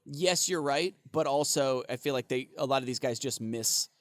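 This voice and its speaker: A man's voice: mid-range voice, moderate pace, no room sound. A man co-hosting a podcast in casual chat, rambling and swearing, laughing often and full of fillers. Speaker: podcast co-host.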